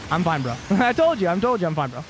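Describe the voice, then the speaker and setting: A man's voice: high-pitched, very animated, fast, heavy background noise. A man in his twenties rambling in casual gaming chat, swearing and laughing often, with frequent restarts. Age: 20s. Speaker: co-host playing a video game. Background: game music and sound effects.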